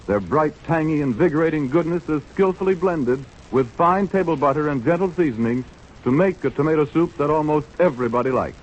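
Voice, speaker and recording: Old-time radio pitchman, male, American: raised register, animated, emphatic, slightly muffled recording. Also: brisk, slight background noise. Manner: polished, emphatic salesmanship. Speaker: radio announcer and sponsor's pitchman. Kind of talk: 1930s radio advertising announcement. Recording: dull vintage 1930s radio recording.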